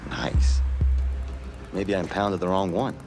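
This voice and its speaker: A man's voice: low voice, little pitch movement, slow pace, loud music behind the voice. A man playing a menacing police officer on a power trip in a game, curt, mocking and intimidating.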